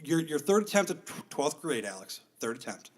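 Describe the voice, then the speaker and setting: A man in his thirties, an army veteran chatting on a podcast, excitable and profane, his voice jumping loud at times. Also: fairly high pitch, moderate pace. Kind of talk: casual podcast chat.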